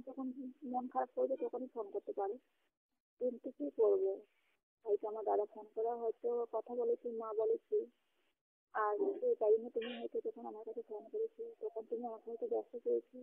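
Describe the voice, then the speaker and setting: A young woman arguing on a phone call, indignant and accusing, her voice often jumping high. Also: fairly quick, vocal fry, dry recording. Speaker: caller in a phone argument. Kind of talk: heated phone argument. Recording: tinny phone call recording.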